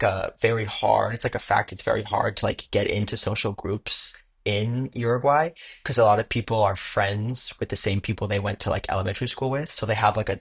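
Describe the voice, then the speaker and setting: American man, guest, low husky voice, filler-heavy casual talk. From United States. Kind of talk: casual conversational interview.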